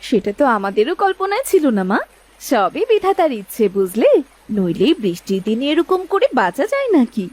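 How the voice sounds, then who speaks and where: A woman voicing a practical, caring young village wife in a cartoon, with expressive, exclaiming delivery. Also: on the high side, moderate pace. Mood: content. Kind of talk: cartoon dialogue.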